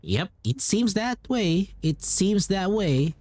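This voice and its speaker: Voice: mid-range voice, big pitch swings, slow pace, a little mellow. A game streamer giving casual live gameplay commentary in short, muttered reactions. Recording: voice over game music. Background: game music.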